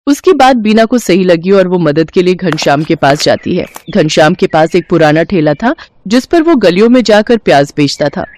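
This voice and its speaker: A woman's voice: fairly low pitch, a little mellow, slight background noise. A woman reading a scripted moral story as its narrator.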